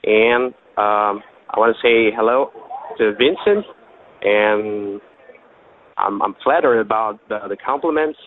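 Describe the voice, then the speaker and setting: A man with a Brazilian Portuguese accent speaks haltingly and politely, his voice a little low. He comes through a thin, tinny phone line with faint hiss behind him. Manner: hesitant, polite. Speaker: caller, painter. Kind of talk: recorded phone message.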